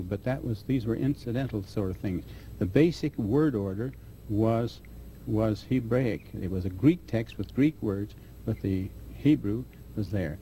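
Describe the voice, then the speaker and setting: A man answering interview questions as a scholar, speaking haltingly with restarts mid-phrase. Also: on the low side, warm tone, slight background noise, low-quality recording. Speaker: guest scholar.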